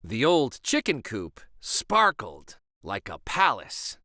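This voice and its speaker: A man hosting a children's read-aloud, narrating a storybook in a slow, expressive and soothing way. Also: mid-range voice, gravelly, no room sound, studio quality.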